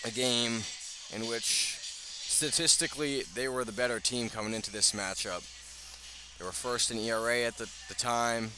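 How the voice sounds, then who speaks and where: A man's voice: medium pitch, poor audio. A man doing live radio baseball play-by-play in short, clipped phrases. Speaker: sports play-by-play announcer.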